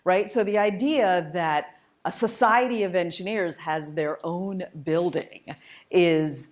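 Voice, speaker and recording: A woman's voice: fairly low pitch, takes it slowly. A woman giving an informal public lecture, conversational, enthusiastic and emphatic as she shares personal stories as a guest speaker. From United States and Malaysia, born in India. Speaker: guest speaker, spacecraft engineer.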